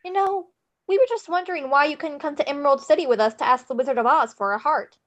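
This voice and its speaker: A woman's voice: sits high, quick pace, natural voice. A woman reading the part of a kind, eager, concerned young girl at a table read, full of encouraging exclamations. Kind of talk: live table read of a film script. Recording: slightly dull microphone sound.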